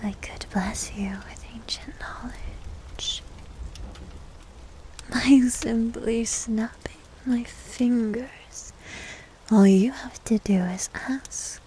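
A woman playing a needy, teasing, doting succubus demon servant in an intimate ASMR roleplay, breathy and mostly whispered, close to the microphone. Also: on the high side, dark tone, poor audio. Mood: sly, seductive. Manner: coy, teasing, slow and drawn-out.

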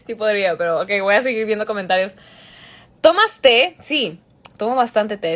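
A woman's voice: medium pitch, sharp tone. A young woman from Mexico, chatting casually and giggling as she answers fans on a phone livestream from a large indoor room. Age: young adult. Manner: giggly, chatty, switches between English and Spanish. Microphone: phone camera at arm's length.

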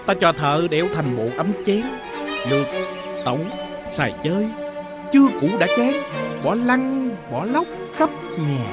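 Smug, lively-voiced rich duke. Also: male voice, high-pitched, measured pace, over loud music. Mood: gloating, flippant. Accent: Southern Vietnamese. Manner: bragging, showy. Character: smug, boastful rich male duke, former merchant. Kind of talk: costume fairy-tale film acting.

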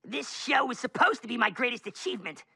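A man's voice: very high-pitched, big pitch swings, fast talker, creaky, pushed and bright, breathy edge, dry recording, clean studio sound, full character voice. A man voicing a pompous, bitter male couch-potato villain in a cartoon, ranting theatrically and scornfully. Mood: bitter, exasperated. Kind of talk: animated cartoon acting.

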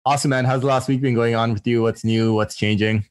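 A man co-hosting a real estate podcast in casual chat, quick and filler-heavy with casual swearing. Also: medium pitch, steady tone. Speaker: podcast co-host and mortgage broker.

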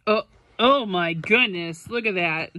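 A woman's voice: mid-range voice, a little slow, sharp tone, slight background noise. A woman chatting casually as she helps a child through a picture book, encouraging and prompting with questions and repeats. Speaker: adult helping a child read a picture book. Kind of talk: casual read-along chat with a child. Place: carpeted room at home.

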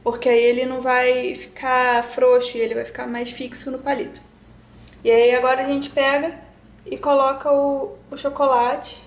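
A woman's voice: sits high, flat delivery, moderate pace, echoey room. A young woman in her twenties from Brazil, chatting through a cooking tutorial in her home kitchen and explaining each step to her viewers. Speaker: cooking vlogger.

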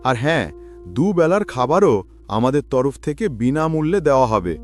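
Male actor as rich rural landlord, patronising, authoritative, wide pitch swings, cartoon dub, soft music underneath. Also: very animated, normal speed, close to an everyday voice. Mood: businesslike. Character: wealthy village landowner employing a poor labourer. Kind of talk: Bengali moral-story cartoon dub. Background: background music.

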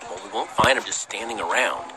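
A man's voice: lowish voice, a little fast, sharp tone, soft background music, poor audio. An actor playing an earnest, slightly unsure young male hero in a video game, speaking casually and a little hesitantly. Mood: alarmed.

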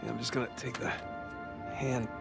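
A man's voice: medium pitch, level tone, normal speed, creaky, over music, lightly acted. Guy voicing a wry treasure hunter in a game, dry jokey banter, plain mid voice. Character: wry male treasure hunter, joking and cool. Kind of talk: video game cutscene acting.

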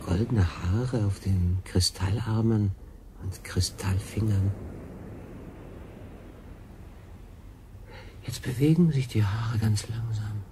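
Casting: man as stranded solo sailor, lowish voice, feverish and incredulous, halting radio drama delivery, clear background noise. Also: a little mellow, lightly acted. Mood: weary, uneasy. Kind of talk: radio play acting.

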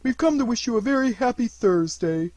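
A man's voice: very high voice, lively intonation, a little fast, a little mellow, dry recording, pitched above the performer's own voice. A man voicing a friendly, cheerful, simple-minded toy bear in a storybook, with an earnest and naive manner.

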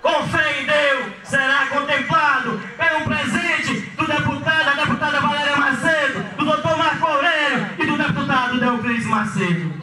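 A man's voice: very high-pitched, normal speed, few pauses, over music. A young man from Maranhão with a Brazilian accent, giving a declamatory speech outdoors at night, often raising his voice, on a handheld mic. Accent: Brazilian Portuguese, from Maranhão. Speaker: local community leader giving a speech. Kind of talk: outdoor public speech at a community event. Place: outdoors at night on a street, crowd around. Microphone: handheld mic into a PA system. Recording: echoey PA sound from a far camera, loud ambience.

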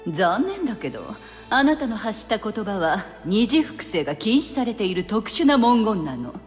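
A woman's voice: mid-range voice, sing-song, moderate pace, natural voice. A woman playing a haughty, impatient witch in an anime game, condescending and teasing, with a curt, bossy streak. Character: haughty, impatient, sultry adult female witch. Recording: long reverb over background music.

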